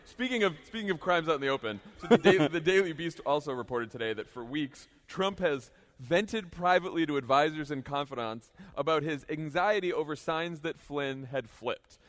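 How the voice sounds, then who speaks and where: A man's voice: on the high side, lively intonation, normal speed, hardly any pauses, fairly bright tone. A man hosting a casual political discussion on stage, with a wry, sarcastic way of talking. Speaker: podcast host. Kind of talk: casual political panel talk before an audience.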